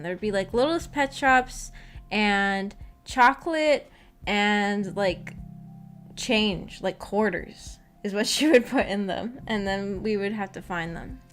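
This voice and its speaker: A woman chatting casually as a livestream host, rambling in a giggly, self-deprecating way. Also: mid-range voice. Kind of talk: casual chat. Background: music.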